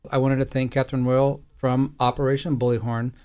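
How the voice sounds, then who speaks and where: A male interviewer with a mid-pitched voice and little melodic movement. He phrases his questions formally, in a measured, articulate manner. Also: little pitch movement, a little mellow, clear, resonant tone.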